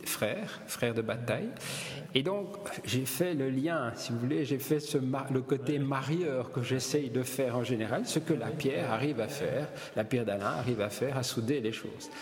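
A middle-aged man from Belgium, a gallery owner and publisher, telling stories in an interview with many hesitations and restarts. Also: medium pitch. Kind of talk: relaxed interview storytelling. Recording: long reverb, faint ambience.

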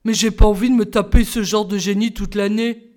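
A woman's voice: medium pitch, fairly even intonation, brisk, mellow, natural voice. A woman voicing a big, sulky schoolboy who grumbles his lines. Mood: grumbling. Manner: grumbling, sullen.

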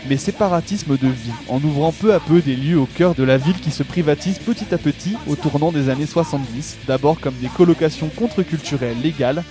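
A man's voice: fairly high pitch, brisk, few pauses. A man reading a scripted documentary narration as a radio narrator. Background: music with guitar.

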